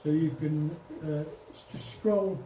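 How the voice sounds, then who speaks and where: Muffled, mellow man explaining in echoey room. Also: a little high, fairly even intonation, slow pace. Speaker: presenter at an amateur radio club. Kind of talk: informal software demonstration to a group. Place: indoor club meeting room. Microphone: distant room microphone. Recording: echoey room, distant mic, faint noise.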